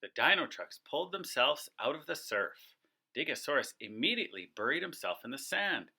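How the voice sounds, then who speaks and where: A man narrating a children's storybook aloud in an expressive, dramatic storytelling style. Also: mid-range voice, fairly quick, breathy edge. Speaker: co-reader of a children's read-aloud.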